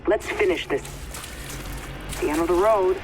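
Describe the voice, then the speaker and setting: An actor playing a resolute, fatalistic travelling companion in a video game cutscene. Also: male voice, high voice, unhurried. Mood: grave resolve. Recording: music under the voice.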